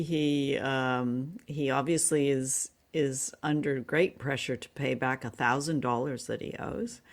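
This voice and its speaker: A woman co-hosting a podcast, conversationally reviewing radio drama in slightly tentative phrasing. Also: sits low. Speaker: podcast co-host.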